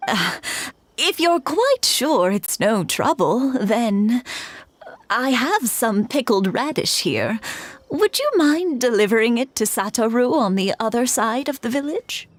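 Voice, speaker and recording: Sweet old village grandma, unhurried, sing-song. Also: female voice, fairly high pitch, sing-song, dry recording, full character voice. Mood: touched. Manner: gentle, fussing, grandmotherly. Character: kindly frail old village woman. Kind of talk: game voice acting.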